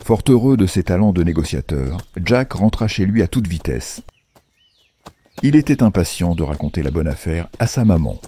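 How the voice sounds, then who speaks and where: A man narrating a scripted children's fairy tale in a playful, wry, measured storytelling manner. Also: low-pitched, animated, mellow, breathy edge.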